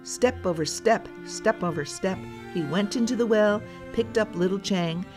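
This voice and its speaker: A woman's voice: medium pitch, moderate pace. Woman reading a storybook over music. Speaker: storyteller, children's read-aloud host. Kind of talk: scripted storybook narration.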